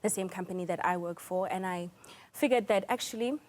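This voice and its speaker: A South African woman giving composed, measured answers as a guest in a television news interview. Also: lowish voice, a little mellow. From South Africa, small town in Mpumalanga. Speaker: interview guest, air traffic controller.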